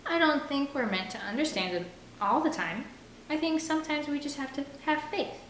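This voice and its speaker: A woman's voice: high-pitched, expressive, moderate pace, a bit bright, pitched above the performer's own voice. A woman voicing a smitten teenage boy in a novel, hesitant and trying to sound casual. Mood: bluffing. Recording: roomy echo with faint background noise.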